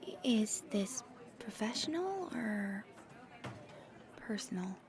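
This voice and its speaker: Woman acting a slow, creaky-voiced nervous investigator. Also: mid-range voice, sing-song, a crowd in the background. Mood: careful, earnest. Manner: halting, stammering, thoughtful, gently breathy. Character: nervous, earnest woman paranormal investigator, soft-spoken and awkward. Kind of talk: ASMR audio roleplay.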